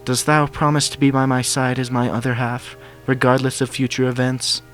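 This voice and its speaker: A gentle royal mare speaks in formal, old-fashioned courtly phrases, voiced by a man. She is soft-spoken and affectionate, a storybook princess with music playing behind her. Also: medium pitch, little pitch movement, normal speed, voice pushed up, close to an everyday voice. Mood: loving, inviting.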